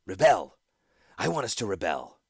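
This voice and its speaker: A man's voice: medium pitch, huge pitch swings, normal speed, gravelly, a bit bright, husky, no echo, studio quality, full character voice. A man doing Gix, an urgent, bandaged rebel. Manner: urgent, insistent. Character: sick, bandaged male rebel leader, insistent.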